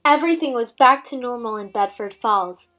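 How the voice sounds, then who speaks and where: A woman reading a children's story aloud as a teacher would, with dramatic, expressive delivery. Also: fairly high pitch, normal speed. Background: background music.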